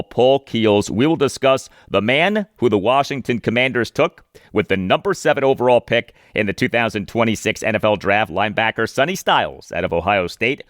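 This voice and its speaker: An American man with a mid-range voice presents a sports podcast on his own. He speaks with deliberate, emphatic announcer phrasing. The sound is close and dry, with very little room to it. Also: mid-range voice, dry recording. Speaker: podcast host. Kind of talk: sports podcast monologue, reading listener mail.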